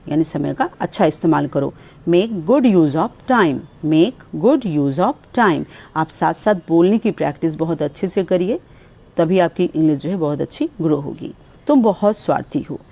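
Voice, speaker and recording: A woman with an Indian accent teaches everyday English phrases. Her voice sits low and has a dark, mellow tone. She explains each phrase and then repeats the English line at a slow, careful pace. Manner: explains in Hindi, then says English phrases slowly and clearly. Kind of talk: spoken English lesson.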